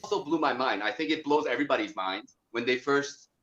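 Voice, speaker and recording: A man's voice: mid-range voice, a little fast, a bit bright. Hesitant man, dull lo-fi sound. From America. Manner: thoughtful, hesitant, many fillers. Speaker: guest. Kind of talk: casual interview talk.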